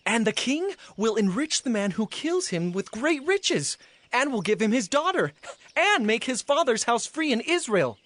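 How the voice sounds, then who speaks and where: An actor playing an excitable soldier among awed troops, emphatic, in a dramatized scripture recording. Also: mid-range voice, moderate pace. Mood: alarmed, excited.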